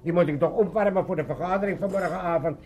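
A male actor plays an older father who fancies himself a party chairman. His voice sits high for a man, and he blusters along, muddled and repeating himself, in the manner of a comic radio play. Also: fast, darkish tone, slight background noise, natural voice. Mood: scheming, self-assured. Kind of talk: comic radio drama acting.

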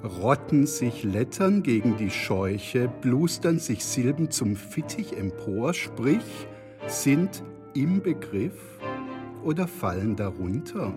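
A man's voice: medium pitch, big pitch swings, takes it slowly, over music. A man reciting dense poetry as a solemn reader in a literary radio play. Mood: solemn, deliberate.

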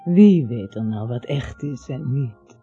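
A woman's voice: a bass voice, expressive, takes it slowly, warm tone, breathy edge, heavily acted. An actress playing a dreamy yet streetwise woman in a radio play, with a musing manner of speaking. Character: dreamy, streetwise woman philosophising, frankly businesslike. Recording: muffled old radio play, music behind.

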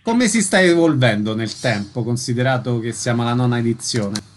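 A man's voice: mid-range voice, animated, a little fast, a little hiss or hum. A man hosting a radio arts programme, reading introductions and putting questions to guests. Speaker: radio host, interviewer. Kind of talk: radio interview, scripted introductions.

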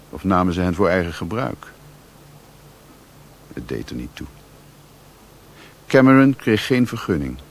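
Sombre literary narrator, very low-pitched, deliberate and reflective, radio drama with faint recording noise. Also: male voice, full character voice. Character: sombre male narrator recalling a sea voyage. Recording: noisy old radio play recording.

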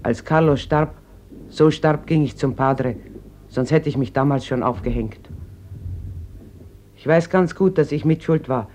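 A woman's voice: sits low, measured pace, pauses often, dark tone. An actress playing a stern, embittered mother in a radio drama, speaking firmly and without yielding. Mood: anguished, resolute. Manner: firm, unyielding. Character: stern, embittered Spanish mother guarding her family. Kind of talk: classic German radio drama acting. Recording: noisy old radio recording.

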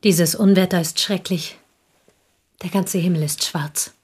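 An actress in a radio play playing a reserved village schoolteacher who answers politely, with restraint and evasion. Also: female voice, lowish voice, steady tone, a little slow, darkish tone, breathy edge. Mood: polite, concerned. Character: reserved, guarded village schoolteacher, woman, dead wife's friend. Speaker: radio drama actor. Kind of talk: German radio play acting.

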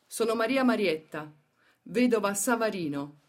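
A woman's voice: medium pitch, lively intonation, normal speed. A woman giving a dramatic radio theatre recitation as an actress-narrator. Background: soft guitar music.

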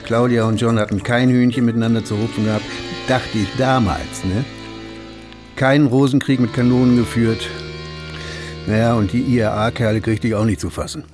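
Older male ex-cop, played by an actor, reflective and rough-mannered, radio crime drama, music underneath. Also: mid-range voice, fairly even intonation, normal speed, lightly acted. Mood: rueful. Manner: colloquial, reflective. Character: old retired policeman, rueful, coarse, reminiscing.

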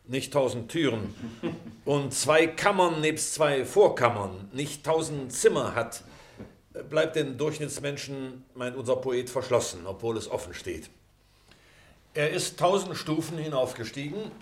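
A man's voice: mid-range voice, animated, measured pace, lightly acted. An actor playing a middle-aged man at a class reunion, wordy, pedantic and wryly formal in an acted drama. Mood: mock-formal. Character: pedantic, wry middle-aged former pupil debating a poem. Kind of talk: acted radio-play dialogue.

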